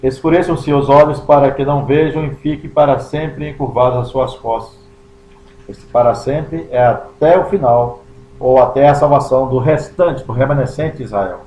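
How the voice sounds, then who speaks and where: A Brazilian pastor reading scripture aloud and explaining it verse by verse, stressing key words and stretching some out slowly. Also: male voice, medium pitch, mellow. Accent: Brazilian Portuguese. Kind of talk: Bible study sermon. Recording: echoey room.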